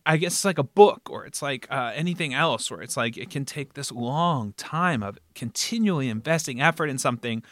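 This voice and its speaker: A man interviewing in a casual, animated way, thinking aloud and checking in with his listener as he goes. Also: medium pitch, brisk, few pauses, no echo, studio quality. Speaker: podcast interviewer and show founder.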